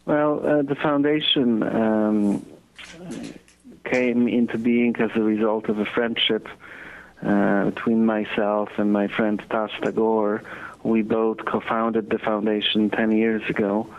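A man in his thirties from Poland with a Polish accent, answering interview questions slowly and thoughtfully with many pauses and fillers. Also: on the low side, little pitch movement. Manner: measured, halting, many fillers. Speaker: guest, foundation co-founder. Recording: dull lo-fi audio.

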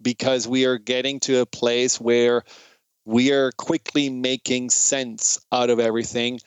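A man's voice: mid-range voice, flat delivery, measured pace, clear, resonant tone, dry recording. A man from Germany with a German accent speaks in an earnest, reflective way, explaining ideas in an informal educational monologue.

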